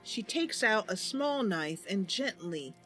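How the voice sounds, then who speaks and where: Woman streamer, animated intonation, story narration mixed with casual game commentary, faint background music. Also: mid-range voice, takes it slowly.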